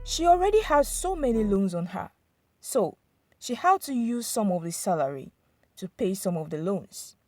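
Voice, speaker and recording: A woman's voice: mid-range voice, very animated, normal speed, darkish tone, no room sound, faint music behind. A woman narrating a scripted dramatic folktale as a storyteller.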